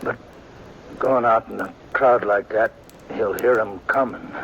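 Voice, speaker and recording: Wounded old frontier sheriff, halting and pleading in a 1950s radio play. His low voice is gravelly and worn. Faint hiss sits under the old broadcast. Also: fairly bright tone, lo-fi sound. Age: older.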